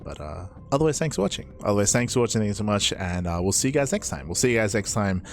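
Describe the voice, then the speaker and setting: Caster, male, lowish voice, hoarse and raspy, relaxed rambling sign-off chat. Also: fast, a little hiss or hum. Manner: rambling, repeats himself, laughs often. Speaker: esports caster. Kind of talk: casual stream sign-off chat.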